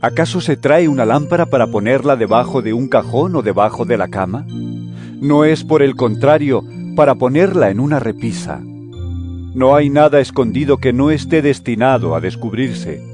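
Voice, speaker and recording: A man's voice: mid-range voice, animated, close to an everyday voice. Solemn male preacher figure over music, acted. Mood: solemn teaching. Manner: solemn, deliberate, declamatory. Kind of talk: dramatized audio Bible acting. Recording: music under the voice.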